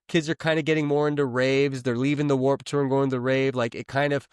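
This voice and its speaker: Podcast guy rambling about songs, swears a lot, smooth clear voice, dry close mic. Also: medium pitch, fast talker, no echo. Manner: profane, rambling, excitable. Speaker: podcast co-host. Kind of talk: casual chat. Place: lives in Los Angeles.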